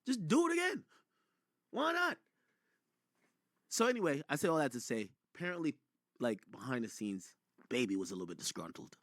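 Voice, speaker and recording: Animated male streamer with wide pitch swings. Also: moderate pace, long pauses, no echo, clean studio sound. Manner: emphatic, opinionated, profane. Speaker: streamer commenting on rap news; record label owner. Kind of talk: live reaction commentary to chat.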